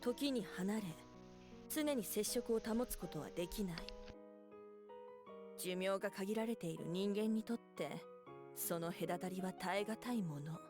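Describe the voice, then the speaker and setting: An actress in a Japanese visual novel playing a cold, composed female fox spirit with a measured, restrained delivery. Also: medium pitch, close to an everyday voice. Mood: cool, detached. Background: background music.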